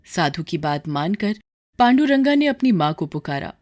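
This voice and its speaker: A woman's voice: on the low side, sing-song, moderate pace, darkish tone. A woman storyteller reading scripted dramatic narration for a moral story.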